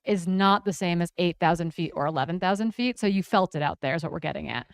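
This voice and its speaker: A woman's voice: on the low side, quick pace, vocal fry, clear, resonant tone, dry recording, studio quality. A woman co-hosting live race coverage, making chatty asides and updating on finishers. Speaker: race broadcast co-host. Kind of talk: live broadcast commentary. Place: race broadcast studio.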